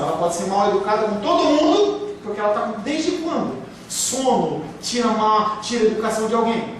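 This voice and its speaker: Brief: pastor, male, high voice, emphatic sermon delivery, distant mic. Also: fairly bright tone. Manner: emphatic, rhetorical questions, acts out quoted excuses. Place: church hall. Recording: very echoey room, speaker far from mic, faint hiss.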